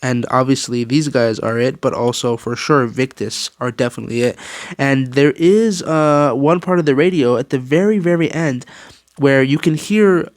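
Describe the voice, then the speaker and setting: Male gaming YouTuber, ordinary mid pitch, slangy off-the-cuff rant, rambling and exasperated, close dry recording. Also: moderate pace, dry recording. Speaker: video game YouTuber. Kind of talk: casual opinionated rant to viewers.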